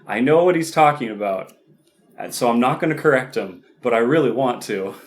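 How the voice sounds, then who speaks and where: A man's voice: mid-range voice, animated, fairly quick. A young man co-hosting a casual movie podcast, rambling and hedging with fillers. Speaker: podcast co-host.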